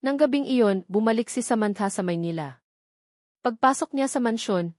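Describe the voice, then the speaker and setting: A woman's voice: mid-range voice, darkish tone, dry recording, studio quality. A woman narrating a dramatic love story as a scripted audiobook.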